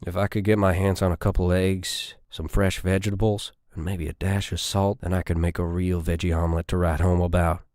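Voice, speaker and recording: Male narrator as grumbling army private, former cook, lowish voice, dry sound, military audiobook. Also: level tone, a little fast, few pauses, a little mellow, no echo. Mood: grumbling, wistful.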